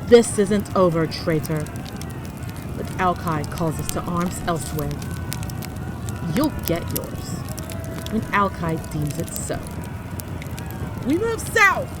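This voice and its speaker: An actor playing an agitated warrior in a fantasy audio drama, speaking with battlefield urgency and strain. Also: male voice, high-pitched, sing-song, takes it slowly, long pauses, noisy background, heavily acted. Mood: defiant. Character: agitated warrior soldier in a beleaguered fantasy army.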